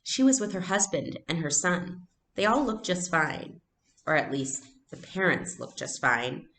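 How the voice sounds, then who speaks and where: Woman reading as a chatty schoolgirl, lightly raised pitch. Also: very animated, measured pace, fairly bright tone, echoey room, full character voice. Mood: chatty, teasing. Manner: chatty, playful. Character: chatty, giggly schoolgirl, friend of the elder sister.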